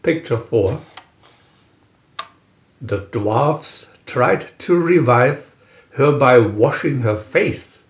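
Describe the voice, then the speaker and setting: A man reading a scripted storybook aloud in a deliberate, measured manner with pauses between captions. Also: medium pitch. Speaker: storybook reader. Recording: roomy, dull lo-fi sound.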